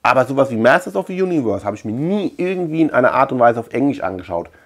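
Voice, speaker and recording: Middle-aged man chatting casually, medium pitch. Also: very animated, fast. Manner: colloquial, chatty, thinking out loud. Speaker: collector and video channel host. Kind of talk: casual rambling chat to camera. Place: small indoor room lined with shelves.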